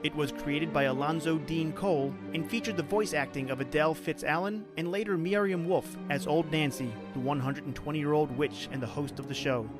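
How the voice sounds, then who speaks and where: Male podcast host, somewhat high pitch, scripted introduction, clear music underneath. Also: fairly quick, hardly any pauses. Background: prominent music.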